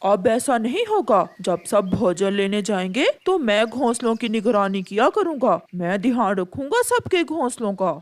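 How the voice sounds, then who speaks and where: A woman's voice: a little high, big pitch swings, normal speed, few pauses, dry recording. A woman voicing an earnest, protective male pigeon, a friendly forest watchman, in a children's cartoon. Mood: resolute.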